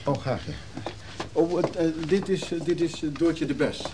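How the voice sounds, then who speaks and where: An actor playing a gruff, guarded man who lives on a leaky boat, speaking colloquially in a naturalistic radio drama. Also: a little high, moderate pace, noisy background, lightly acted. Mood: awkward.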